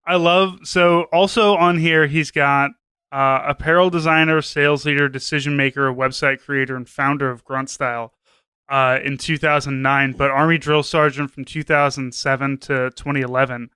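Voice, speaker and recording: A man's voice: mid-range voice, steady tone, normal speed, sharp tone, smooth, no echo, studio quality. A man co-hosting a podcast, giving casual commentary in a rambling, wry, sweary way. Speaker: podcast co-host.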